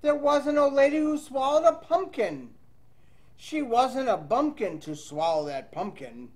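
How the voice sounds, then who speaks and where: A man's voice: very high voice, big pitch swings, unhurried, a little mellow. A man reading a children's storybook aloud in a playful, sing-song way, with chatty asides to young listeners. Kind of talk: animated read-aloud to children with casual asides.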